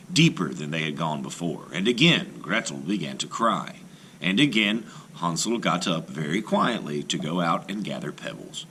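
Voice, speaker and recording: A man, a teacher, reading a folktale aloud to children in an expressive, animated storytelling manner. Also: deep voice, slight background noise, rough recording.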